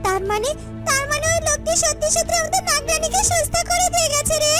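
A performer voicing a devoted, excitable young female serpent attendant in a fairytale cartoon, eager and repetitive. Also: very high-pitched, fast talker. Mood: excited. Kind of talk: Bengali fairytale cartoon voice acting. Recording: loud music under the voice.

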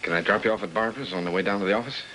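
A man's voice: fairly low pitch, racing, noisy background, close to an everyday voice. An actor playing a genial, probing middle-aged father in a 1950s film drama, folksy and casual in his questions. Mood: breezy. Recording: old film soundtrack under loud music.

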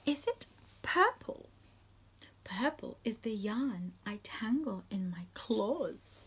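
A woman in her forties reading a picture book aloud to young children, putting simple questions to them in an animated way. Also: fairly high pitch, slow pace, a little hiss or hum. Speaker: children's storytime host and reader. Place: small room at home, indoors.

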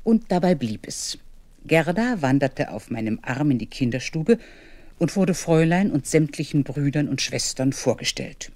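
A woman's voice: deep voice, expressive, normal speed, a little mellow, no echo. A reflective grandmother looks back on her childhood as narrator of a radio play. The recording is close and dry, with hardly any room around her. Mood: reminiscing. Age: older.

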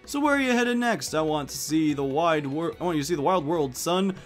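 A man's voice: a little high, lively intonation, brisk, faint music behind, pitched below the performer's own voice, close to an everyday voice. A man voicing a kindly older turtle father, chipper and folksy, reading out a letter in a game. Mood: cheerful, fatherly.

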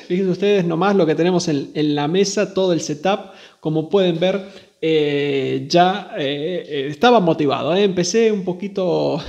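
Chatty man with a creaky, higher-pitched voice. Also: a little mellow, highs cut off. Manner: chatty, informal. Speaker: host of a radio hobby channel. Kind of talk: casual product review and demo.

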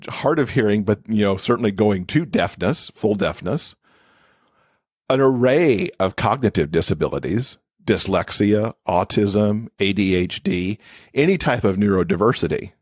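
A man's voice: lowish voice, a little mellow, husky, no echo, studio quality. A man speaking as an expert podcast guest, explaining things in a conversational interview style.

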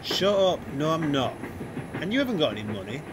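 A man's voice: a little high, sing-song, a little fast. A man playing an irritable, sarcastic young man in a radio play, with a curt delivery. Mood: irritated. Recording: train noise over voice.

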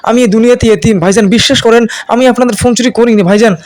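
A man's voice: extremely high pitch, little pitch movement, racing, a little mellow. A man with a Bangladeshi accent voicing a frightened, simple-minded orphan man who pleads and whimpers in a comedy cartoon. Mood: desperate begging. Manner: pleading, whimpering, repeatedly addressing elders respectfully.

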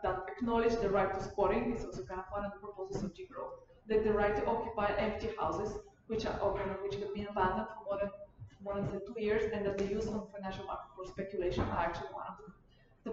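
A young woman from Bulgaria giving an informal lecture in a halting, rephrasing, digressive way. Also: medium pitch. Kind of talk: informal lecture and discussion of questions. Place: university lecture room. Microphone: far camera. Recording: distant mic, muffled, echoey room, loud noise.

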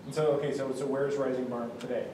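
A man's voice: medium pitch, level tone, fast talker, noisy background. A man hosting an interview in an office meeting room, asking casual paraphrasing questions. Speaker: interview host. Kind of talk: onstage interview. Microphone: far room camera. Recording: very echoey room audio from far off.

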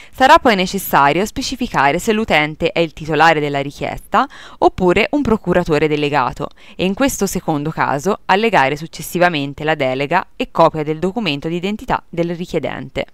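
Clear-voiced woman narrating a tutorial. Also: on the low side, no echo. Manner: formal, procedural. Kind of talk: scripted instructional narration.

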